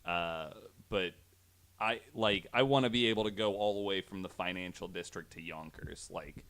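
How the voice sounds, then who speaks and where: A man with a very low voice, chatting casually on a podcast. He rambles along with enthusiasm, sprinkling in profanity as he builds his point. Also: normal speed, a bit bright, smooth. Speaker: podcast co-host. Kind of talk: casual chat.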